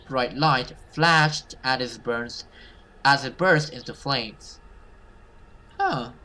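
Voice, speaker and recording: A man's voice: mid-range voice, bright, forceful tone, slight background noise, poor audio. A man reading a horror visual novel aloud as a gamer, narrating it theatrically. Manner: theatrical, with casual swearing asides. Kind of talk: dramatic let's play narration of a horror story.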